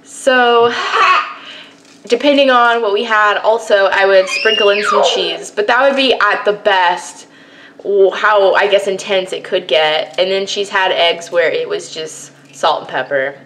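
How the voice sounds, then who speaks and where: A woman's voice: mid-range voice, measured pace, fairly bright tone. Chatty young woman vlogging, noisy recording. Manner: rambling, chatty self-narration. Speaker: family vlogger, mother of a baby. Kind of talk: casual chat to camera. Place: at home, indoors. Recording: clearly noisy home recording with clicks.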